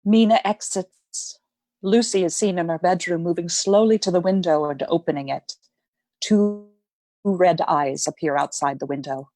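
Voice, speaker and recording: Low, mellow woman narrator. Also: normal speed, dry recording. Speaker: narrator reading stage directions. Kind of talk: scripted radio play narration.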